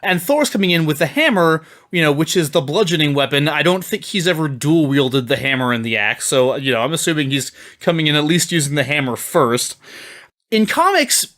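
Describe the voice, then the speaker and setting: Higher-voiced man arguing in casual debate. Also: big pitch swings, fairly quick, a bit bright. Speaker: debater on a podcast.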